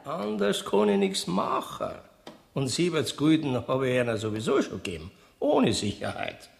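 A man's voice: medium pitch, huge pitch swings, moderate pace, vocal fry, echoey room. An actor playing a shrewd, stubborn male moneylender in a radio play, wheedling in Bavarian dialect.

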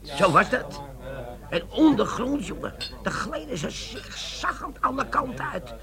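An actor playing a gruff, bossy army bomb-disposal sergeant in a Dutch radio play. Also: male voice, very high-pitched, sing-song, a little slow, a crowd in the background, exaggerated voice. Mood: explaining, animated.

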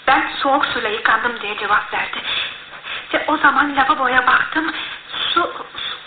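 A woman's voice: high voice, moderate pace, natural voice. A female actor playing a respectful servant witness in a radio drama, recounting dramatically and with deference. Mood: shaken, earnest. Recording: echoey, tinny, noisy old radio recording.

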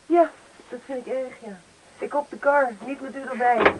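An actress playing a brisk, headstrong woman from Canada in a Dutch radio play, curt and assertive with everyone. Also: sits high, steady tone, very noisy, lightly acted. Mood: curt, determined.

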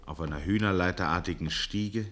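Narrator for a 60s german radio drama, low pitched, measured, articulate, literary style. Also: male voice, fairly bright tone. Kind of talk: scripted literary narration, 1960s radio play.

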